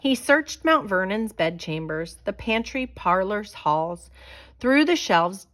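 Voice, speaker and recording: Woman, picture book narrator, slow pace, expressive and deliberate storytelling. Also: mid-range voice. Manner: dramatic, deliberate storytelling delivery. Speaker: storyteller reading aloud. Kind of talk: expressive children's picture book read-aloud.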